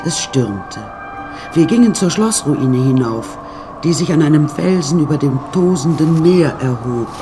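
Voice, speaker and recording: An actress playing a self-possessed young woman who narrates her story in a dry, measured way in a radio drama. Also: low voice, expressive, over music. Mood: wry, composed.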